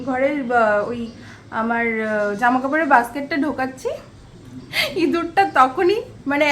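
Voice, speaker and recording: High-voiced West Bengali woman vlogging. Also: a bit bright. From West Bengal, India. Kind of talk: casual chatty home vlog, storytelling to viewers. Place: small one-room home. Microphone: handheld camera at arm's length. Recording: faint background noise.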